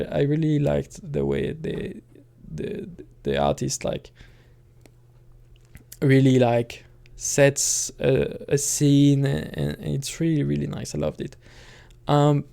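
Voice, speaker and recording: A man talking casually on his own channel in a hesitant, halting way, thinking out loud as he goes. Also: fairly high pitch, creaky. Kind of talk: casual rambling solo talk.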